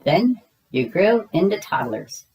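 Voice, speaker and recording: Woman as a patient mother pig in a storybook, kindly and unhurried. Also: on the low side, sing-song, darkish tone, pitched above the performer's own voice, exaggerated voice, rough recording. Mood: fond.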